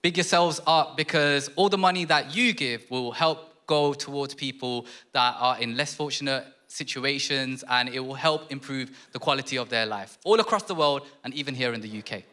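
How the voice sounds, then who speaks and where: A man's voice: medium pitch, moderate pace, hardly any pauses, pushed and bright. A man hosting a live comedy show on stage, hyped and upbeat with slang. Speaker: radio presenter, show host. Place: theatre stage with live audience.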